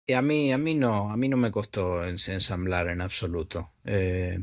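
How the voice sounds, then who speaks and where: A man's voice: deep voice, steady tone, normal speed. A man with an Argentine Spanish accent, an actor talking casually as an interview guest, searching for words with many fillers and repeats. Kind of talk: casual conversation.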